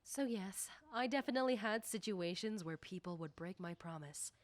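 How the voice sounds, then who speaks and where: A woman playing a confident, nosy popular high school girl in an ASMR roleplay, talking coyly and teasingly to the listener. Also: expressive, moderate pace, no echo, lightly acted. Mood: indignant, self-assured.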